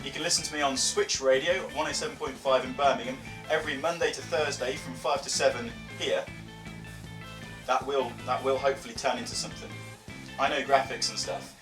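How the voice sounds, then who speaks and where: A man's voice: medium pitch, fairly even intonation, fairly quick. A British man in his early twenties giving a chatty opinion vlog in a wry, mock-dramatic, self-deprecating way. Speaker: vlogger and radio presenter. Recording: roomy, distant mic with music behind.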